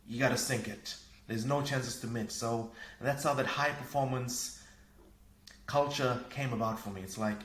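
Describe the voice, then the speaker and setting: A man from Fiji, a parenting coach and podcast guest, talking in an energetic, upbeat way. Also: medium pitch. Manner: energetic, upbeat, laughs easily. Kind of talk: casual conversation. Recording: echoey room.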